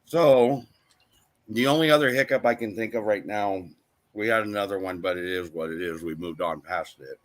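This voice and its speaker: A man's voice: on the low side, normal speed. A man co-hosting a casual live-stream chat, reading viewer comments aloud and answering them. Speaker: co-host of a live channel chat.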